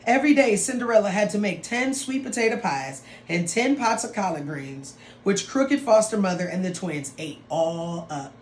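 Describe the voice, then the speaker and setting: A woman teacher reading a story aloud to students in an expressive, dramatic way, with drawn-out phrases and playful asides. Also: mid-range voice. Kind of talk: expressive read-aloud of a picture book for students. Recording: roomy echo with some background noise.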